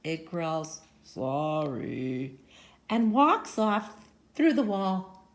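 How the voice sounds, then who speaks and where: A woman reading a picture book aloud like a teacher to children, theatrical and emphatic, with dramatic pauses and sudden swells. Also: mid-range voice, big pitch swings.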